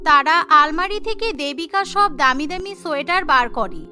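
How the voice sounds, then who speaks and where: A woman narrates a cartoon in a scripted, storytelling manner. Her voice is very high and sharp-edged. Soft music plays underneath. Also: lively intonation, normal speed. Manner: storytelling, voices every character.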